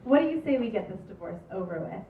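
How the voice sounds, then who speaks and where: A woman's voice: mid-range voice, lively intonation, fast, a little hiss or hum, close to an everyday voice. A woman playing an exasperated, blunt estranged wife in a live comedy script read. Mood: curt. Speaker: actress in a script table read. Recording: distant, echoey, muffled room capture.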